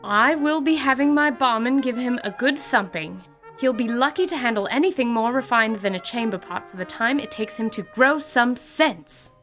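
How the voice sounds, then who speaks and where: Flustered, anxious innkeeper woman, played by a female narrator, courteous but strained, animated pitch, over a music bed. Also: moderate pace. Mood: stern. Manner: courteous but tight, nervously over-polite.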